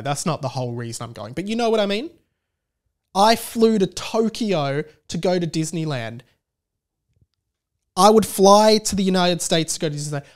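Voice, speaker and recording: A young man with an Australian accent speaks in a fairly high register. He hosts a podcast in a loose, chatty style, pushing his opinions with animated emphasis and repeating points for effect. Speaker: podcast host. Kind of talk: casual solo podcast rant.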